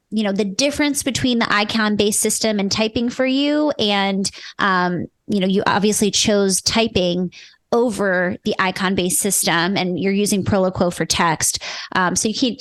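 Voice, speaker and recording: A woman hosts an interview in a casual, chatty way and circles through her questions with many fillers. Her voice sits at a middle pitch. The sound is close and dry. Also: no echo. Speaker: podcast co-host.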